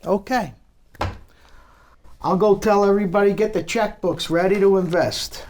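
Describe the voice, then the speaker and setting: A man's voice: sits high, fairly even intonation, moderate pace, a little mellow, slight background noise, close to an everyday voice. A man playing a blunt, sardonic gangster in a table reading of a crime drama, wry and conversational. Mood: businesslike. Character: Lucky, blunt, sardonic male visiting gangster.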